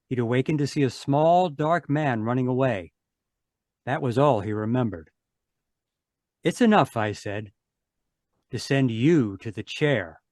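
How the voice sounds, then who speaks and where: An actor playing a confident detective, narrating a case in crisp, declarative old-time radio style. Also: male voice, medium pitch, dry recording, clean studio sound, lightly acted. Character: Ellery Queen, confident sleuth explaining his case.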